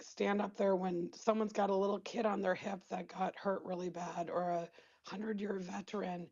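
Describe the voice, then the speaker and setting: A woman's voice: mid-range voice, darkish tone, muffled recording. A woman from Wisconsin calling into a live talk show, losing her voice, blunt and rambling. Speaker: caller.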